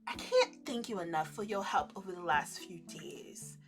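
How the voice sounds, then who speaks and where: A woman voicing a reserved, serene male winter spirit in a romance game, speaking in a measured, earnest, formal way. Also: fairly low pitch, animated, faint music behind, voice pushed down. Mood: apologetic.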